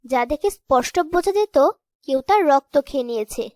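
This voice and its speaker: A woman narrates a scripted fairy tale as storyteller. Her voice is very high for a woman, and the recording is dry, with no room echo. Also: animated, normal speed, a little mellow.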